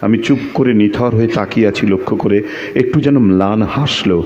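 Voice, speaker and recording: Actor as deep-voiced, fervent male storyteller. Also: fairly quick, a little mellow, slight background noise, natural voice. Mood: tender, wistful. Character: man emotionally recounting his tender love to a guest. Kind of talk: Bengali audio drama monologue, dramatic recitation. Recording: dull lo-fi audio. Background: prominent music.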